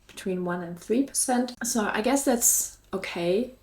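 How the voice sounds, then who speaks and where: A woman's voice: mid-range voice, measured pace, a little mellow. German-accented woman vlogging in an echoey room. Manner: upbeat, encouraging, chatty. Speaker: YouTube host and online shop seller. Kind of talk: casual vlog talk to viewers.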